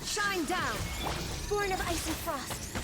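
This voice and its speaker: A woman's voice: extremely high pitch, expressive, takes it slowly, full character voice. A woman voicing a spirited young female fighter in a video game, calling out skills in short emphatic cries. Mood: fierce. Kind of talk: video game battle calls. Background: game music and combat sounds.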